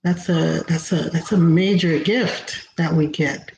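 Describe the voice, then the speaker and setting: A woman from Haiti whose first language is Haitian Creole, giving an inspirational talk as host, slow and reflective with frequent pauses. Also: low voice, fairly even intonation, gravelly, a little mellow. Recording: roomy, dull lo-fi recording with faint noise.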